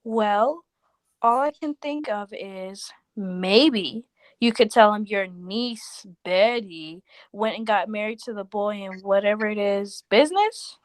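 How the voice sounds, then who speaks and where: A woman's voice: mid-range voice, slow pace, dry recording, natural voice, rough recording. A woman acting a blunt, practical friend of thirty-three in a 1940s style radio play, incredulous and matter-of-fact. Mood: practical, resigned.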